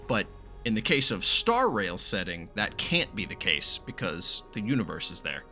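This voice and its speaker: A man's voice: lowish voice, big pitch swings, moderate pace, bright, forceful tone. A man giving casual, exclamatory live game commentary, swearing freely and asking baffled questions. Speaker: gaming streamer reacting to a story quest. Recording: game music faintly behind. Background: game music and dialogue.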